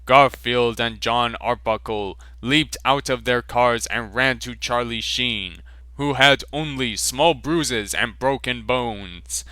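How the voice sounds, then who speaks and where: Slow, bright-voiced male reader. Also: mid-range voice, no echo. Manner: slow mock-serious reading, breaking into laughs. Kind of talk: amateur fanfiction reading.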